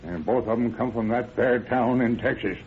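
A muffled, rumbling old radio recording carries a man acting a stern country father. He is middle-aged, gruff and curt, with folksy phrasing and an annoyed edge in a 1930s radio play. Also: moderate pace, a little hiss or hum, lightly acted. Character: stern, annoyed small-town father in rural California.

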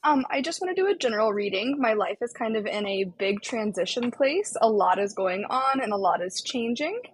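A woman's voice: a little high, moderate pace, slightly rough, low-quality recording. A woman guest speaking casually and a little hesitantly about her life. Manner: relaxed, hesitant, with fillers. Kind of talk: casual conversation.

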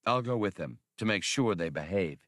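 A man's voice: fairly low pitch, lively intonation, normal speed, a bit bright, no echo, clean studio sound. A man voicing a suave, mustached veteran gunman in an audiobook, speaking in a polished, coolly amused manner. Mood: cool, firm.

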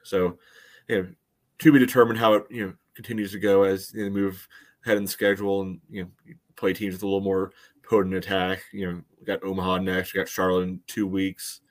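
Male sports podcast panelist, lowish voice, casual analysis, measured and hedging delivery. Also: little pitch movement.

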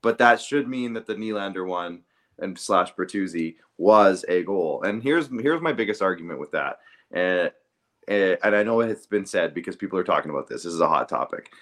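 Low-voiced man arguing a sports call. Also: animated, moderate pace, a bit bright. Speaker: sports podcast co-host. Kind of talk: casual sports debate.

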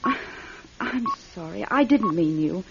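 An actress playing an anxious, submissive sister in a radio drama, hesitant and pleading. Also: female voice, on the low side, lively intonation, unhurried, creaky, very noisy. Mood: distressed, pleading. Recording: dull lo-fi radio recording.

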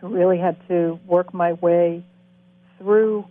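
A woman's voice: on the low side, fairly even intonation, slow pace, no room sound. A woman in her sixties from Boston introducing herself on a panel, earnest and direct, heard over a phone line. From Boston, USA. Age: 60s. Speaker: coach educator. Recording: tinny phone-line audio.

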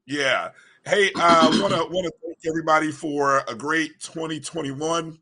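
A man hosting a casual online talk show, his voice froggy and hoarse from coaching, who often repeats words as he starts a thought. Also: a little high, steady tone, moderate pace, pushed and bright. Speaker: podcast host. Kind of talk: casual chat.

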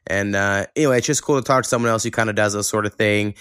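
A man's voice: lowish voice, rapid-fire, a bit bright, clean tone, no echo, clean studio sound. Laid-back American man hosting a podcast. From Oklahoma, United States. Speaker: podcast host. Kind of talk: casual podcast chat.

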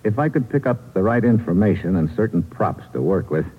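A man's voice: fairly low pitch, sing-song, a little fast, slightly rough. A man playing a tough, cool undercover American agent in an old radio drama, speaking in clipped slang with an American accent. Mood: cool, wry. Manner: clipped, slangy hardboiled delivery. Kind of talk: old-time radio drama acting with first-person hardboiled narration. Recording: old radio recording, narrow band, music behind.

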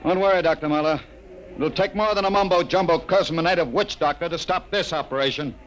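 An actor playing a hard, callous military general, brusque and commanding, in 1950s-style radio drama. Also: male voice, a little high, fast, natural voice, poor audio. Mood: defensive, dismissive.

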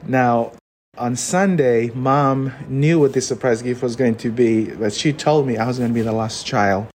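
A young man from Fiji with a Fijian accent, a podcast guest telling his story earnestly with many pauses. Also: mid-range voice, darkish tone, a little hiss or hum. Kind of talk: personal storytelling.

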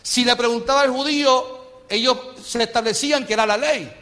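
Brief: Puerto Rican male preacher, very high voice, fervent, call-and-response with the flock, long church reverb. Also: a little fast, pushed and bright. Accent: Puerto Rican Spanish. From Puerto Rico. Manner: impassioned, calls the congregation for amens. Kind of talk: sermon. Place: church sanctuary.

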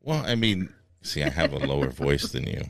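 A man's voice: very deep voice, big pitch swings, moderate pace, a bit bright, dry recording, studio quality. A man hosting a podcast in casual comic chat, joking and tossing to segments. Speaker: podcast host.

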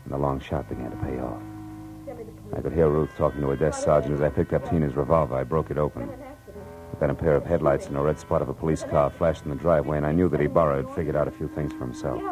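Low-pitched man, American, tough calm private detective, matter-of-fact delivery, old-time radio, music behind. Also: quick pace, rough recording. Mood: tense narrating. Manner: clipped, hard-boiled, matter-of-fact. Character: hard-boiled male private detective, tough, world-weary, calm. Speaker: lead actor and narrator. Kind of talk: 1940s radio acting, first-person narration and dialogue. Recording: vintage radio drama with music.

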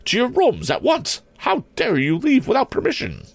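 Indignant, high-pitched elderly headmistress. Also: male voice, wildly swooping pitch, normal speed, creaky, no echo, exaggerated voice. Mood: outraged, commanding. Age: older. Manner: haughty, formal, scolding. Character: bristling, determined elderly headmistress, indignant and imperious.

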